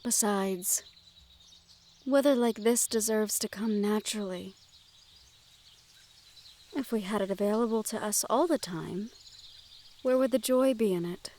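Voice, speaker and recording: A woman playing a gentle, well-bred young noblewoman in a fantasy audio roleplay, speaking in polite, measured phrases directly to the listener. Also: medium pitch, close to an everyday voice. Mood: serene, thoughtful.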